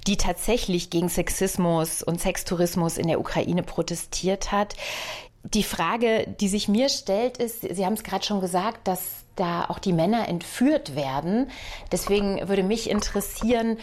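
A woman's voice: lowish voice, darkish tone. A woman interviewing a writer, putting prepared questions in a literary conversation.

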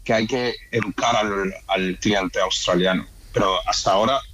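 A man's voice: fairly low pitch, normal speed, poor audio. Madrid-accented man, chatty restaurant owner. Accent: Spanish, Madrid. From born in Ecuador, raised in Madrid. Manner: relaxed, rambling with restarts and "o sea". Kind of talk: casual interview chat.

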